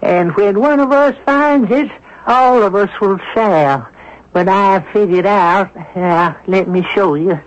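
Man putting on a high, earnest lady's voice. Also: takes it slowly. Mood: earnest, coaxing. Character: man disguised as sweet, earnest woman addressing relatives. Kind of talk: 1970s radio drama acting. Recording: dull 1970s radio broadcast recording.

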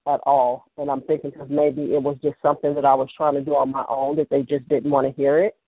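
A man's voice: medium pitch, monotone, fast, husky, no room sound. A man calling in to a talk line, speaking hesitantly and often restarting his sentences. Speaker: caller. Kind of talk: casual phone call. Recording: tinny phone line.

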